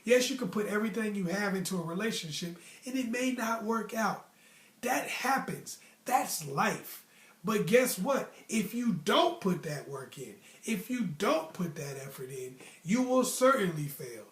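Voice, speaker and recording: A young American man with a noticeably high voice tells stories in a casual, slangy way. He leans emphatically on his points as he hands out motivational advice. The sound is roomy, with clear echo around the voice. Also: animated, unhurried, fairly bright tone. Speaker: storytelling host.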